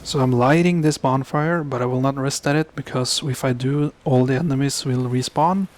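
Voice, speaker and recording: A young man from Norway, speaking English as a second language, giving casual gameplay commentary and often stopping to correct himself. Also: medium pitch. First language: Norwegian. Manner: halting, self-correcting, mutters asides under his breath. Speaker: gaming commentator.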